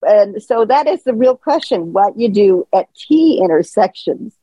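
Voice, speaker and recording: A woman's voice: medium pitch, animated, a little slow, warm tone, husky, no room sound, rough recording. A woman calling in, telling a story in a rambling way with fillers and chuckles. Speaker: caller. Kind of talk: casual call-in Q&A.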